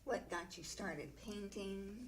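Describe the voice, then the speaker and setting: A woman's voice: medium pitch, slow, noisy background. A woman hosting a radio talk show, upbeat and polished as she interviews a guest.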